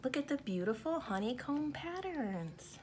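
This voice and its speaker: A woman reading a picture book aloud for children, addressing them as friends and pausing before key words. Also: high voice, big pitch swings, darkish tone, poor audio. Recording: clear background noise, home recording. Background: background noise.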